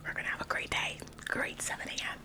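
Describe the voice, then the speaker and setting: A woman's voice: fast, close to an everyday voice. A woman in a whispered ASMR roleplay, playing an overeager, officious office employee who acts like the boss, chirpy, fussy and politely bossy. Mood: fussy, helpful.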